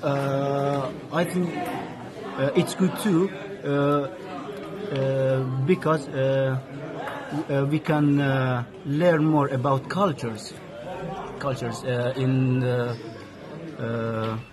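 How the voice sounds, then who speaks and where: A young man from Afghanistan, a non-native English speaker, answering interview questions haltingly and searching for words. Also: medium pitch, steady tone. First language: not English. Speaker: student interviewee. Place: indoor cafeteria. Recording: busy chatter in the room.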